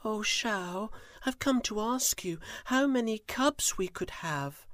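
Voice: mid-range voice, animated, slow, fairly bright tone, no echo, clean studio sound, pitched above the performer's own voice. A storyteller voicing an eager forgetful tigress. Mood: humble, eager. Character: humble eager female tiger, forgetful.

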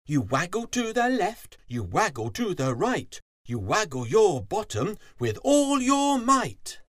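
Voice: mid-range voice, sing-song, takes it slowly, no room sound, studio quality, pitched above the performer's own voice. A storybook narrator voicing a friendly, helpful male fox who is eager to teach.

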